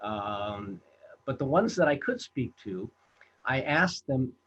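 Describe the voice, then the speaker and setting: A man in his sixties from the United States, a film director telling his story in an interview, halting with many fillers and restarts. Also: medium pitch, sing-song, fairly bright tone, breathy edge, lo-fi sound. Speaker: film director and producer, guest.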